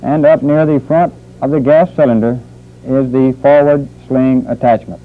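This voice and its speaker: A man working as a military instructor, narrating a training film in a formal, deliberate, measured manner. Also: mid-range voice, fairly even intonation. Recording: muffled phone-like old training film soundtrack.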